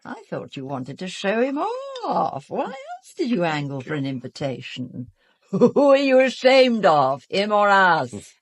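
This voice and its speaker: A woman's voice: mid-range voice, huge pitch swings, measured pace. An actress playing a condescending, needling society woman in an audio drama, sardonic and mock-sweet.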